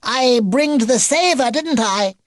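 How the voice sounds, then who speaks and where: An eager baby beaver from a storybook. The voice is squeaky and pitched right up, with proud, bouncy, babyish talk and big lively swoops. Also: male voice, slow, dry recording, clean studio sound, full character voice. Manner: babyish grammar and excitable.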